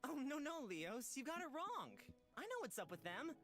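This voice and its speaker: A woman's voice: high-pitched, wildly swooping pitch, normal speed, fairly bright tone, voice pushed up, exaggerated voice. A woman voicing an earnest, idealistic young man in a visual novel, a little hesitant. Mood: friendly. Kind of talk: visual novel character reading. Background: music.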